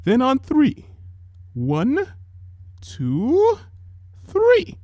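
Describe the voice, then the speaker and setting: A high-pitched unicorn lady from a pony story, acted out by a man. She is a doting, dramatic older sister with a prim manner and a temper, and her pitch swings widely. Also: huge pitch swings, drawn-out words, dark tone, no room sound, studio quality, exaggerated voice. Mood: soothing, fussing.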